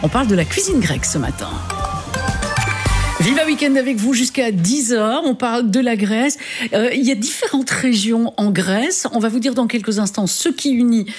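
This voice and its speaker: A woman's voice: a little high, expressive, normal speed, slightly rough, soft background music. A woman presenting and interviewing on a live morning radio show. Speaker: radio host.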